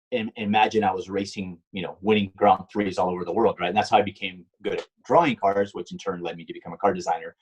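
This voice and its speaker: Husky low-voiced man telling stories. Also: darkish tone, rough recording. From Puerto Rico. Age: middle-aged. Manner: rambling storyteller with many ums and restarts. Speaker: guest, stunt driver and car designer. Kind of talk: casual interview answers.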